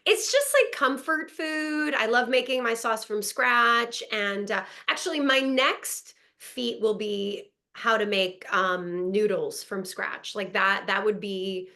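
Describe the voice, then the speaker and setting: A woman's voice: on the high side, slow, hardly any pauses, bright, forceful tone. A woman from Montreal whose first language is French, chatting upbeat as an actress guest on a video call, laughing often. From Montreal, Canada. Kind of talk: casual interview chat. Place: home in Los Angeles.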